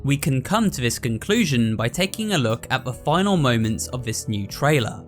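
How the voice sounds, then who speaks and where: A man's voice: mid-range voice, lively intonation, moderate pace, fairly bright tone, faint music behind. A man narrating a scripted breakdown as the host of a video.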